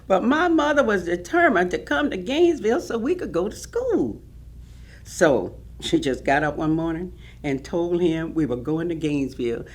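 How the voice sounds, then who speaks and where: Animated older woman storyteller, low voice acted. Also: exaggerated voice. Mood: proud, animated. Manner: expressive, emphatic storytelling. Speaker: stage actress. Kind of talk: staged reading of oral history testimony.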